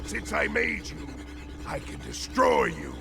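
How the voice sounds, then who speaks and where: A menacing dark villain from a video game, booming out threats. The voice swings wildly and sits far off under loud music. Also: male voice, wildly swooping pitch, slow pace, creaky, full character voice. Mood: threatening. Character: menacing male dark villain with great powers. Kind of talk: video game cutscene acting.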